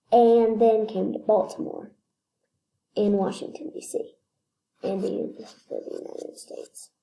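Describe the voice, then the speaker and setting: A woman reading a children's picture book aloud, slightly halting, repeating phrases and adding casual asides. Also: a little high, gravelly, warm tone. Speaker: read-aloud storyteller. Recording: echoey room.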